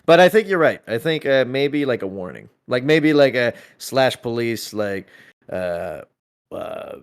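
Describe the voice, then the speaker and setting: A man chatting casually and thinking out loud, halting and repeating words as he works through his ideas. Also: medium pitch, sing-song, clean tone.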